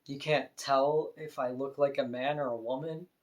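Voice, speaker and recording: A woman with a deep voice, talking frankly and casually to camera in an opinion vlog, now and then laughing softly. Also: fairly even intonation, normal speed. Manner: frank, conversational, with soft laughs. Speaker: vlogger.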